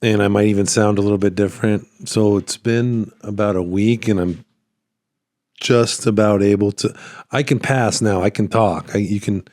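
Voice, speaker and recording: A man hosting a casual solo podcast, rambling and halting, with a slightly hoarse voice that cuts in and out as he recovers from being sick. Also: on the low side, steady tone, a little mellow, no room sound, studio quality. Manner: rambling, halting, repeats phrases. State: hoarse, voice cutting in and out after illness. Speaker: podcast host, small business owner.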